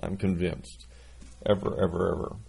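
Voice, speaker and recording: A man's voice: low voice, level tone, moderate pace, gravelly, mellow, breathy edge, rough recording. A man in his sixties preaching a sermon, reading scripture and adding folksy, self-deprecating asides. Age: 60s.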